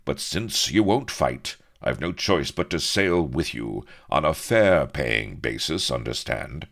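A man's voice: extremely low pitch, expressive, slow pace, creaky, a bit bright, pitched above the performer's own voice, exaggerated voice. A pompous young officer hero in a comic audiobook. He is plummy and easily outraged, his raised voice swooping about with schoolboy indignation. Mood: haughty.

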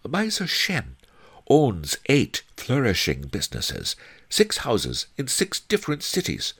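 A man voicing a knowing, scornful woman, a storybook noble nurse, reciting things item by item with deliberate emphasis. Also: medium pitch, wildly swooping pitch, a bit bright, breathy edge, voice pushed up, heavily acted.

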